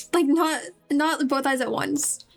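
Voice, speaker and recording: Chatty high-pitched woman streaming a game. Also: steady tone, takes it slowly, creaky. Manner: chatty, rambling to viewers. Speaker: livestreamer playing a video game. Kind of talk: casual game stream commentary. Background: game music.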